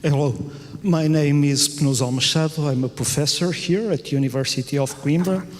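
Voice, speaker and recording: Higher-voiced professor in a hall. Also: male voice, normal speed, slight background noise. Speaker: university professor. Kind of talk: conference self-introduction. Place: large conference auditorium. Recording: long hall reverb.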